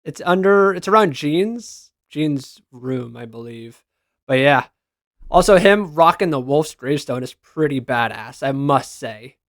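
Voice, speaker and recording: Higher-pitched man giving casual fan commentary. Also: animated, measured pace, dry recording, studio quality. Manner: informal, gushing fan talk. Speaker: video game reviewer and reaction host. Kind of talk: casual enthusiastic commentary over screenshots.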